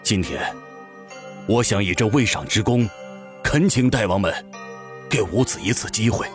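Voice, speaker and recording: A humble tiger-headed father, acted by a man for a fantasy audio drama. His low, subdued voice pleads earnestly and respectfully, in halting, careful phrases over faint music. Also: lively intonation, natural voice. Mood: humble pleading.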